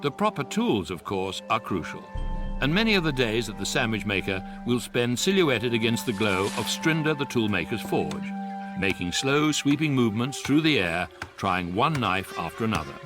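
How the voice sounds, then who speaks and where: A man's voice: medium pitch, sing-song, natural voice. Radio drama narrator, male actor: wry, exact, mock-serious, very varied intonation, music bed. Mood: wry, measured. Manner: deliberate, precise, mock-serious. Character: wry, measured narrator of an absurd sci-fi tale. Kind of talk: scripted comic science fiction radio narration. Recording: music playing behind the voice.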